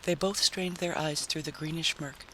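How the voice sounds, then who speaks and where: A man narrates a story in a dramatic, scripted audiobook style. His voice sits a little high and has a bright, forceful edge, with clear hiss behind it. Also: steady tone, moderate pace, low-quality recording.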